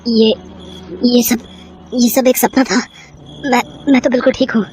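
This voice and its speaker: A woman's voice: a little high, little pitch movement, unhurried, a little mellow, a little hiss or hum, close to an everyday voice. A woman voicing a young man in a horror story, talking to himself in short, repeated, reactive phrases. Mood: shaken, confused. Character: young man, scoffing horror fan turned frightened victim.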